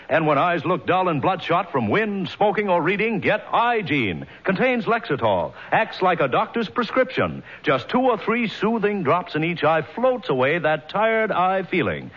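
A man's voice: high voice, very animated, moderate pace, few pauses. A man announcing in formal, emphatic 1950s radio style, reading credits and sponsor copy. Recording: muffled vintage radio recording, music behind.

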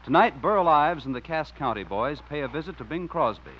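A man making announcements and narrating a 1950s radio comedy in a crisp, declamatory way. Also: fairly high pitch, lively intonation, brisk, slight background noise. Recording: muffled old radio broadcast.

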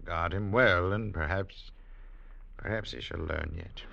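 An actor playing a grave, stern village elder, speaking with solemn authority in an old radio drama. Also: male voice, low-pitched, unhurried, gravelly, pushed and bright, heavily acted. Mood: solemn, judging. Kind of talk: 1940s-50s radio drama acting. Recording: dull old radio recording.

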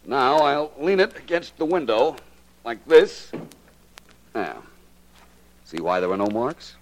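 An actor playing a confident, clever detective in a 1940s radio drama, with an assured, explanatory manner. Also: male voice, mid-range voice, very animated, slow pace, rough recording. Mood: confident, explaining.

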